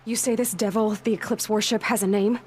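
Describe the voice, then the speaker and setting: A woman's voice: medium pitch, steady tone, brisk, darkish tone, slightly rough, natural voice. An actress voicing a determined young woman hunter who asks direct, probing questions, in a video game.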